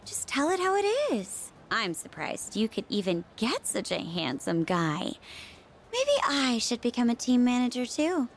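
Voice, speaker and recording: A woman's voice: a little high, big pitch swings, measured pace, a little hiss or hum. A woman voicing a snide teenage mean girl in a video game, sarcastic and taunting. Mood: mocking. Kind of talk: video game character dialogue.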